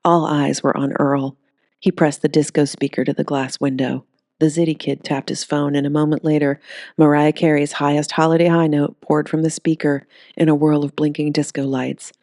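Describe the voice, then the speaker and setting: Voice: mid-range voice, flat delivery, normal speed, a little mellow, no echo, clean studio sound. An audiobook narrator reading a scripted story.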